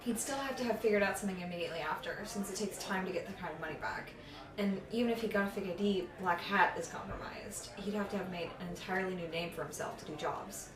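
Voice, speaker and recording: Audio drama: brainy young female, played by a woman, rapid rambling delivery, roomy distant sound with crowd murmur. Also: medium pitch, natural voice. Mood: analytical. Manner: rambling, reasoning aloud. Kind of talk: naturalistic audio drama acting.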